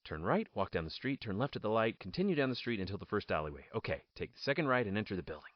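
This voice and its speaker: An actor playing an earnest, defiant fugitive hero in an audio drama, speaking with urgency. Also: male voice, lowish voice, sing-song, very fast, dry recording. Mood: muttering, focused. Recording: muffled sound, music behind.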